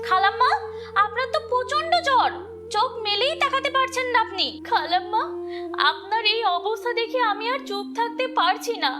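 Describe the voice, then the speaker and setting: A woman's voice: very high-pitched, moderate pace, noisy background. A woman voicing a devoted, anxious village caregiver in a cartoon drama, earnest and emphatic. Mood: alarmed concern. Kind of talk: melodramatic cartoon voice acting. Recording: loud background music.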